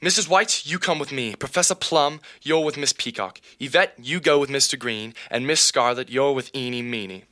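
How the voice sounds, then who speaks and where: A man's voice: medium pitch, normal speed, few pauses, sharp tone, pitched above the performer's own voice, natural voice. A young man playing a proper, frantic butler with a put-on English accent, giving orders in a school play scene. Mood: commanding. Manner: commanding, theatrical.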